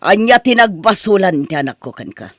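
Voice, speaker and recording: Casting: man to play protective older Ilocano mother, high, expressive voice, gentle but firm, radio-style drama. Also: fairly quick, no room sound, studio quality, heavily acted. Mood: stern, reproachful. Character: protective older mother, gentle with daughter, stern with son-in-law.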